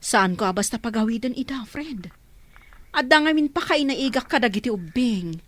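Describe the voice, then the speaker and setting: A woman's voice: on the high side, expressive, moderate pace, fairly bright tone. An actress playing a caring, earnest woman who helps orphans, asking concerned questions in an audio drama.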